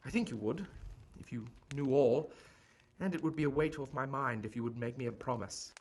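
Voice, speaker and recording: A man acting in an audio drama as a genial but guarded Victorian gentleman doctor, speaking in formal, courteous, earnest phrases. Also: medium pitch, normal speed, close to an everyday voice. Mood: earnest, pleading.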